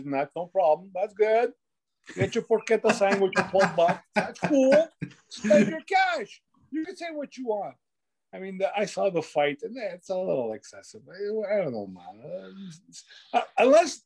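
Guy on a sports panel going off, loud and emphatic, swears a lot. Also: sits high. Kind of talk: casual sports chat.

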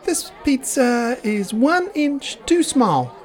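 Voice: sits high, sing-song, takes it slowly, darkish tone, a faint crowd, voice pushed up, heavily acted. A performer voicing a fussy, prim female parking officer in a chirpy, precise children's storybook voice.

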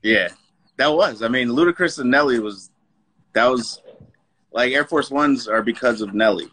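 Husky, bright-edged man chatting casually. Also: medium pitch, low-quality recording. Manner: slangy, agreeable, quick to chuckle. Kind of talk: casual comedic chat.

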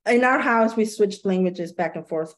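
A woman's voice: medium pitch, normal speed. A woman from Chile, a podcast guest chatting casually, laughing easily and dropping Spanish words into her English. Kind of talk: casual interview chat.

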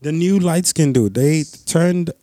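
A young man from Ghana co-hosting a podcast, talking loud and animated with lots of slang in casual banter. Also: a little high, unhurried, dry recording, clean studio sound. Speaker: podcast co-host.

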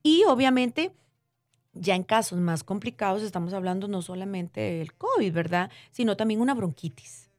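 A woman's voice: mid-range voice, expressive, normal speed, no echo, clean studio sound. A woman speaking as a guest doctor, explaining conversationally in plain terms with diminutives and frequent check-in questions. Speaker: doctor interviewed as guest expert. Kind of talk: conversational medical explanation for lay listeners.

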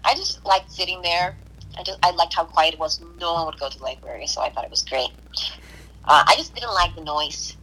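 A woman from Puerto Rico chatting casually on a podcast, hesitant, with restarts and soft laughs. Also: fairly low pitch, fairly quick, low-quality recording. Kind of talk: casual conversation.

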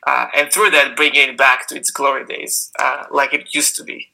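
A young man with an Israeli accent answers interview questions about his startup in a relaxed, halting way. His voice is sharp and very bright, with a gravelly edge, and he often pauses with fillers. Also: medium pitch, poor audio. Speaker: guest, startup founder.

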